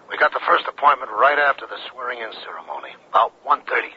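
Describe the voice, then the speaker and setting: A man's voice: medium pitch, big pitch swings, quick pace, close to an everyday voice. An actor playing a tough, wary gang henchman with clipped, hard-boiled delivery in a 1970s radio drama. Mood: businesslike. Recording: dull, noisy two-way radio transmission.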